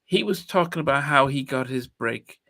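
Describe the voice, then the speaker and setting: A man's voice: mid-range voice, animated, normal speed, vocal fry, sharp tone, no echo, clean studio sound. A man in his fifties, from Nigeria, hosting a podcast in a reflective, hesitant way with many restarts. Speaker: podcast host. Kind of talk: casual interview chat.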